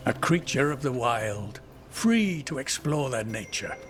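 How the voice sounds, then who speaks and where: An older male elf, a calm keeper of nature in a fantasy game. His medium-pitched voice moves expressively through measured, philosophical lines over faint music. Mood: wondering. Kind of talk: video game dialogue acting. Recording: faint music under dialogue.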